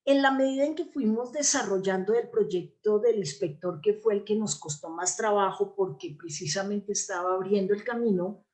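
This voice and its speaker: A woman with a Colombian accent speaks as a guest in an interview. Her voice sits at a middle pitch. She explains things conversationally, often checking in with short question tags. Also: moderate pace, few pauses, a little mellow. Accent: Colombian Spanish. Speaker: interview guest, theatre actress and playwright.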